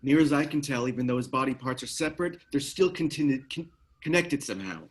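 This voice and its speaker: A man's voice: medium pitch, quick pace, natural voice. Man acting an earnest, uneasy occult researcher. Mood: uncertain, earnest. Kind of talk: screenplay table read acting.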